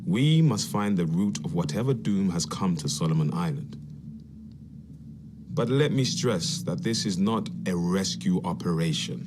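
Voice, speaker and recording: Low, dry commander. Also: male voice, animated, background noise. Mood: stern, commanding. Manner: measured, formal, dry. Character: stern, urbane secret-order handler, dryly sardonic, pragmatic. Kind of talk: video game cutscene acting. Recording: music behind the voice.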